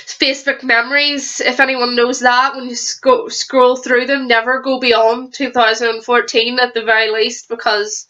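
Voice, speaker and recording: A young woman talks to her channel in a loose, rambling book review, stuffed up with a cold. Her voice sits a little higher than most and has a bright, sharp edge. She trips over words and restarts sentences freely. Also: level tone, moderate pace, hardly any pauses, dull audio. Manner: rambling, chatty, with frequent restarts. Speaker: vlogger. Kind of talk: casual book review vlog.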